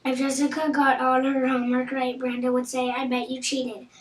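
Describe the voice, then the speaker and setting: A woman's voice: high-pitched, monotone, normal speed. A woman reading a children's storybook aloud. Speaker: storybook reader. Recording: roomy echo with audible background noise.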